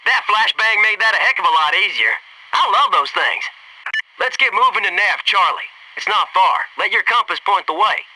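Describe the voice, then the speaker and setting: A man's voice: high voice, lively intonation, moderate pace, sharp tone, distant mic, slight background noise, full character voice, poor audio. An actor playing a cocky, wisecracking male Navy SEAL squadmate in a military video game, talking in brash, punchy banter. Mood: focused. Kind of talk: scripted video game squad radio lines.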